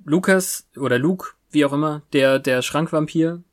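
A podcast co-host chatting casually about a TV series in a halting, spontaneous way. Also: medium pitch.

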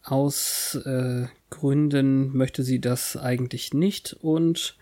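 Male co-host, slow and wry, chatty episode-recap podcast. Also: mid-range voice, steady tone, mellow. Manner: wry, drawn-out, laughs often. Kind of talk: casual TV-episode recap chat.

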